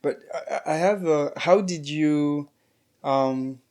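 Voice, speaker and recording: A man from Congo co-hosts a casual interview in a fairly high voice. He works his way into each question hesitantly, restarting and pausing as he goes. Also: a little mellow. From Republic of the Congo. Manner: hesitant, many fillers and restarts while forming questions. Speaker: interview co-host.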